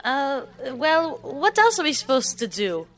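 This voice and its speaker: A woman with a high voice speaks in character during a tabletop role-playing game. Her delivery is eager and wide-eyed. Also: sing-song, measured pace. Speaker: player.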